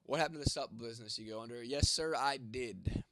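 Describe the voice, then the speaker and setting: A man's voice: medium pitch, a little fast, a bit bright. A young man hosting a casual livestream and reading out viewer comments. He is congested and losing his voice, with a cough, and talks in a laid-back way with casual swearing. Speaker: livestream host.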